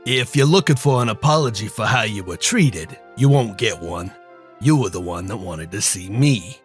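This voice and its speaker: An actor playing a wry, guarded crime boss in a detective radio drama. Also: male voice, on the low side, lively intonation, normal speed, faint music behind, close to an everyday voice. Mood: coolly commanding.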